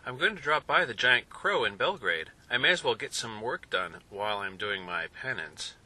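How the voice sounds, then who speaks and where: A man's voice: mid-range voice, expressive, moderate pace, pushed and bright. A man voicing an easygoing traveling photographer who speaks plainly. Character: casual, focused traveling photographer.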